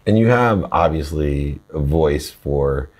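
A middle-aged man hosts an interview at a conference table, his voice sitting low. He asks his questions eagerly and laughs often. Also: animated, slow, a little mellow. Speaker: host, interviewer. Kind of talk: interview conversation. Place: office conference room.

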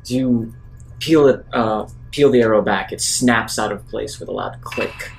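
A man's voice: lowish voice, a little slow, creaky, slight background noise. A man playing a tabletop roleplaying game, improvising the narration of a scene.

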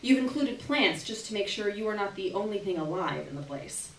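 A young woman gives an expressive, theatrical reading of a literary short story at a live event, with deliberate phrasing and dramatic pauses. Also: mid-range voice, a little hiss or hum. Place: small indoor gallery reading event. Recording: roomy live venue, distant camera microphone.

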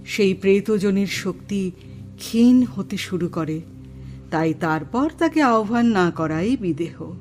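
A woman's voice: mid-range voice, darkish tone. A woman playing a grave, dignified astrologer and spirit medium in a horror audio drama, speaking formally and solemnly. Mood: quiet, solemn.

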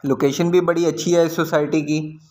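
A man's voice: a little high, little pitch movement, normal speed, darkish tone. A man with a Pakistani accent giving informal, explanatory commentary on a property site visit as a real estate video host.

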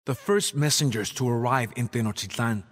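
An actor playing a proud Aztec jaguar warrior who solemnly recounts ominous events as dramatic game cutscene narration. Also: male voice, medium pitch, close to an everyday voice. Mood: grave, dramatic. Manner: solemn, measured storytelling. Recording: music behind the voice.

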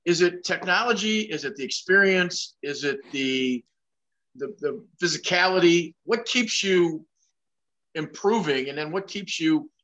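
A man's voice: a little high, expressive, unhurried, a bit bright. A man in his sixties hosting an interview over a webcam, asking long, winding, chatty questions. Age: 60s. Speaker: show host. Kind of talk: casual interview. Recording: dull lo-fi sound.

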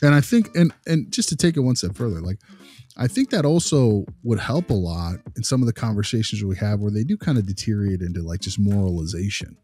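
Husky, low-voiced man explaining earnestly. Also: expressive, brisk, warm tone, faint music behind. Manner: earnest, emphatic, long-winded explaining. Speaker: podcast host discussing behavior analysis. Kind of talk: conversational discussion.

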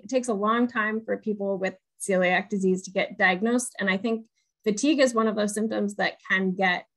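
A woman, putting questions conversationally as the moderator of a webinar. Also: medium pitch. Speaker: moderator, patient-advocacy research director. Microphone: video call on wired earbuds.

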